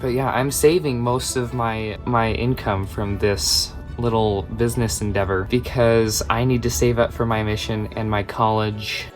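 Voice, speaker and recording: A man's voice: lowish voice, level tone, measured pace, few pauses. Chatty young man over background music. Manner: chatty, rambling, drifts off topic. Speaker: crochet vlogger and small shop owner. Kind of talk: casual crochet tutorial chat.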